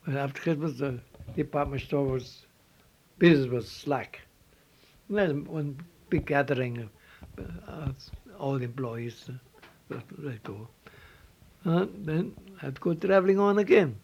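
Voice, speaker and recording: Older Swiss man, slightly high voice. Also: creaky, darkish tone, breathy edge, poor audio. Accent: Swiss German. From Switzerland. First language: Swiss German. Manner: halting, repeats words, non-native phrasing. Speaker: interviewee. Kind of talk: reminiscing life story.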